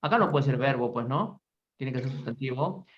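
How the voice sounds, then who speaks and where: Brisk male English teacher, lo-fi call. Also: mid-range voice, lively intonation, a little hiss or hum. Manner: brisk, quizzing students in Spanish. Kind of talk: online class, calling on students.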